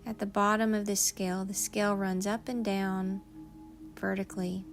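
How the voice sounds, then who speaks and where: A woman leading a guided meditation in a soothing, unhurried manner, leaving long pauses between phrases. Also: mid-range voice, flat delivery, faint music behind.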